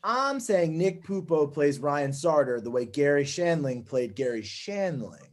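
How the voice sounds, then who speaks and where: A man's voice: on the high side, lively intonation, measured pace. A man playing a breezy, slick TV network boss, glib and chummy, in a comedy table read.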